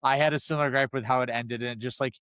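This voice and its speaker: A man with a medium-pitched voice talks casually as a podcast co-host. He works toward his opinions hesitantly, rephrasing and qualifying them. The recording is dry, without room echo. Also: fairly even intonation, very fast, a bit bright, clean tone. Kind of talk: casual film discussion.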